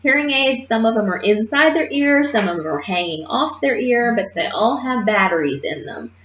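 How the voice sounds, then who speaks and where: A woman teaching a nursing assistant class in a lively, emphatic way, often checking in with her listeners. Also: on the high side, moderate pace, a little hiss or hum. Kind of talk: classroom lecture. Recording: muffled roomy classroom recording.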